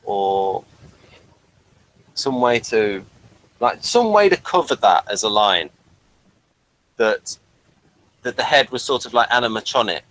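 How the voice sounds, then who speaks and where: A man hosting a TV review show in casual, unscripted chat, haltingly rephrasing as he goes. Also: on the low side, expressive, fairly bright tone, rough recording.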